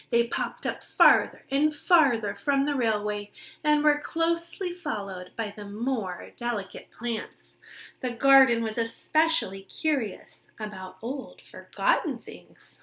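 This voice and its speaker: Slow, high-voiced woman reading to children. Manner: expressive, simple explanations, pausing to ask questions. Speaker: teacher reading aloud to children. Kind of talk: picture-book read-aloud with questions to young listeners.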